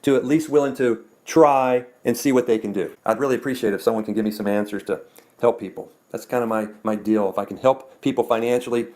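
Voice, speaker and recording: A man's voice: mid-range voice, steady tone, fast, a little mellow. Rambling folksy man talking to camera. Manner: rambling, folksy, repeats himself. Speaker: trading video maker. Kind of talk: casual talk to camera.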